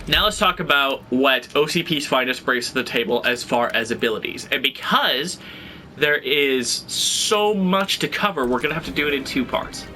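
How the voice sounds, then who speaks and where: A man's voice: mid-range voice, expressive, moderate pace, sharp tone, a little hiss or hum. A man with a slightly stuffy nose, hosting a tutorial and talking through fighting game moves in a casual, analytical way. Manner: analytical, conversational, thinking aloud. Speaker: video game guide host. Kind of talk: gameplay tutorial commentary.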